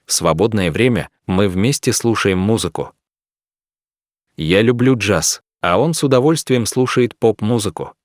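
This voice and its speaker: An actor voicing a young man who talks about himself and his friend, reading even, clearly pronounced sentences for a language test recording. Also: fairly low pitch, measured pace, dry recording, clean studio sound. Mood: calm. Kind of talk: slow scripted reading for learners.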